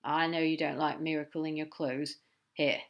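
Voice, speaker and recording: Woman doing a male demon, laid-back, casual drawl, lowish voice, storybook reading. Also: little pitch movement, normal speed. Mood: concerned.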